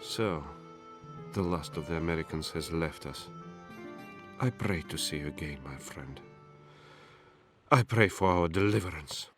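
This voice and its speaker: A weary-hearted, resigned resistance fighter in a game cutscene. His voice is very low and he speaks with solemn fatalism. Music plays clearly behind him. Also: unhurried, pauses often, fairly bright tone. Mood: sorrowful, solemn. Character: grim, resigned male resistance fighter facing defeat. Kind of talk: scripted game cutscene dialogue.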